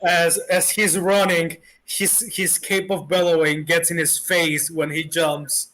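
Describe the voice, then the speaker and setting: A man narrating as game master of a tabletop game, pausing often on fillers. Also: on the high side, level tone, pushed and bright, rough recording. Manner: hesitant, full of fillers.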